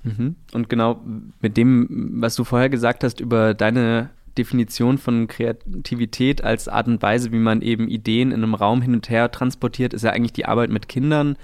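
A man's voice: medium pitch, steady tone, moderate pace, hardly any pauses, darkish tone, dry recording, studio quality. A man co-hosting a conversational interview podcast, commenting on what the guest has said. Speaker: podcast co-host.

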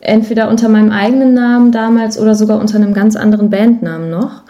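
A woman with a soft-edged, mellow voice at a middle pitch talks as an interview guest. She is chatty and candid, explaining her work in a casual, self-deprecating way. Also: fairly even intonation, fast talker, highs cut off. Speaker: podcast guest, musician and voice artist.

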